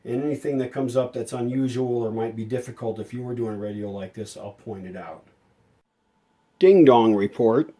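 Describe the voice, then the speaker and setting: A man giving a casual, hands-on workshop explanation as a hobbyist restorer, haltingly thinking aloud as he works. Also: mid-range voice, dark tone. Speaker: hobbyist radio restorer and video maker. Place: indoor home workshop at a workbench.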